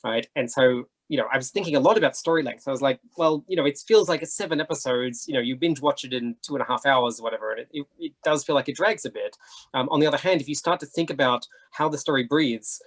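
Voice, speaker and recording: A man talks very quickly in a slightly husky voice. He is a chatty panelist who thinks out loud, dropping in frequent asides as he explains his views in a casual discussion. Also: mid-range voice. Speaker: panelist, writer.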